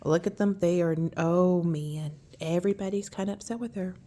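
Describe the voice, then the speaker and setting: A woman reading a picture book aloud to young children, with gentle, admiring, chatty asides. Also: lowish voice, steady tone, normal speed.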